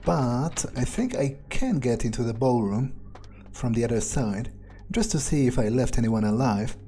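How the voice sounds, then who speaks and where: A man narrating a video game in character, thinking aloud in an understated, musing way with frequent pauses. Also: mid-range voice, lively intonation, dark tone, soft background music. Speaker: gamer playing in character.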